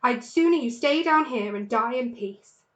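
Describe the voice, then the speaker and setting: A woman's voice: fairly high pitch, animated, normal speed, roomy sound, lightly acted. A woman reading a children's storybook aloud, voicing a firm, protective mother fox. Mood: firm, snappish. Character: firm, protective mother fox, weak but loving wife.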